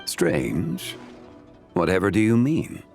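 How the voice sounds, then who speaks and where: A man's voice: lowish voice, huge pitch swings, slow, creaky, a little mellow, faint music behind, heavily acted. An actor playing a sly, unruffled man in a video game, coy and theatrical as he feigns innocence. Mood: coy, amused.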